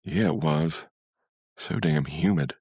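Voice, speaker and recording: A man's voice: very deep voice, takes it slowly, darkish tone, slightly rough, no room sound, studio quality, heavily acted. A man voicing a chatty, nosy street acquaintance in a story.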